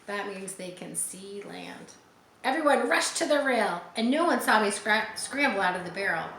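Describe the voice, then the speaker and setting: Female children's storybook reader, medium pitch, expressive, pauses to explain. Roomy recording with faint noise. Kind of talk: storytelling read aloud with simple explanatory asides for children. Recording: echoey room with faint background noise.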